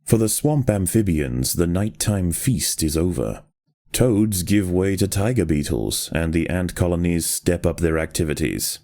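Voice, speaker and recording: Deep, mellow male documentary narrator. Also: lively intonation. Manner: measured, deliberate, grave storytelling. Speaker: video game narrator. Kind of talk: scripted nature documentary narration.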